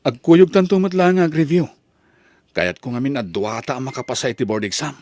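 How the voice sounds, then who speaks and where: A man playing a shy, tender young college student in love, speaking softly and hesitantly in an Ilocano drama. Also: mid-range voice, a little fast, no echo, natural voice. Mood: hopeful. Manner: soft, hesitant. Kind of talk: Ilocano radio-style drama acting.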